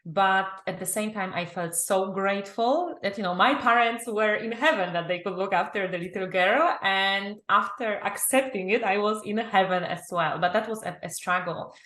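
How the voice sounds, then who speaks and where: A woman from Poland with a Polish accent, telling her personal story as an interview guest in an animated way, acting out her own thoughts. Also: mid-range voice, moderate pace, few pauses. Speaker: guest, confidence and business mentor. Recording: roomy, echoing sound.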